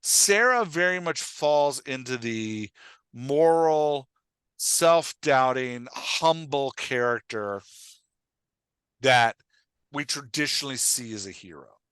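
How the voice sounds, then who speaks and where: Male host-coach, medium pitch, conversational and animated, explains and checks in, very dry sound. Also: slow pace, smooth, no room sound. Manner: animated explainer, acts out characters' lines, checks understanding often. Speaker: podcast host and writing coach.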